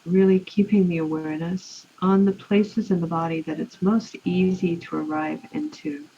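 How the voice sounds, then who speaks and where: A woman leading a guided relaxation meditation in an unhurried, soothing way, leaving long pauses. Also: fairly low pitch, mellow. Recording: muffled, with clear background noise.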